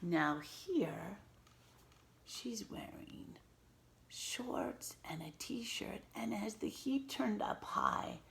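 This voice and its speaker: A woman teacher reading a picture book aloud to young children, pausing to explain words and ask simple questions in an instructive way. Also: mid-range voice, animated, gravelly, whispered.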